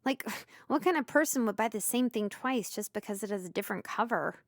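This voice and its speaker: A woman's voice: a little high, lively intonation, brisk, no room sound, studio quality. A woman voicing a blunt, playful, naive young female student in a visual novel, casual and flippant in manner.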